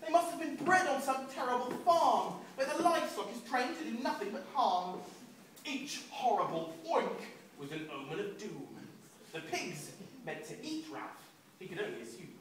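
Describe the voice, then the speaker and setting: A man, a children's author, reading a rhyming story aloud in an expressive, sing-song rhythm at a book event. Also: very high voice, moderate pace. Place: large echoing room at a book event. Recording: echoey hall, distant mic, noisy.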